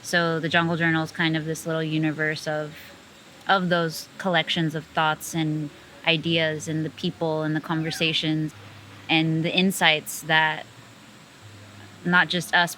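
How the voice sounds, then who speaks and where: A woman's voice: sits low, little pitch movement, moderate pace, a bit bright, a little hiss or hum, poor audio. A young woman from the United States, a magazine co-founder, talking earnestly and reflectively in an interview, with frequent fillers.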